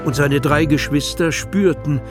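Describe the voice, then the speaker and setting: A man's voice: fairly high pitch, unhurried. A man narrating a children's audio drama as a scripted storyteller. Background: music.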